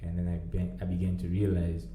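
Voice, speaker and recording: Deep, dark-voiced man in an echoey room. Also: completely flat delivery, a little fast. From Namibia. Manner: laid-back, laughs often, swears casually. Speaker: podcast host. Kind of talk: casual conversation. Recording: echoey room with faint ambience.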